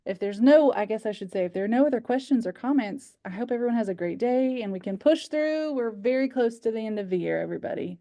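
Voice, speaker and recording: A woman's voice: on the high side, lively intonation, quick pace, few pauses, mellow, no echo. A woman with an American accent hosting casually, with a chatty and enthusiastic way of talking. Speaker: host of a virtual culture club.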